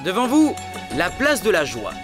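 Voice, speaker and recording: A man playing an earnest, encouraging guide who explains and proclaims things in a French animated series dub. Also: sits high, moderate pace, over music. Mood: proudly presenting. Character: earnest male mountain guide, encouraging and informative.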